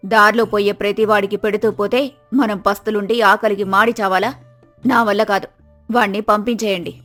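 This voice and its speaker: A woman's voice: mid-range voice, steady tone, moderate pace, fairly bright tone, natural voice. A woman playing a poor, weary village wife in a moral story drama, scolding her husband in an exasperated, complaining manner. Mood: angry, complaining. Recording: music behind the voice.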